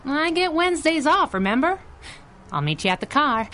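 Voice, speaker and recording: An actress playing a tough, wisecracking young masked crimefighter in a 1930s-style radio adventure, with a cocky, sardonic delivery. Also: female voice, high-pitched, very animated, normal speed, bright, forceful tone, heavily acted. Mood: cocky banter.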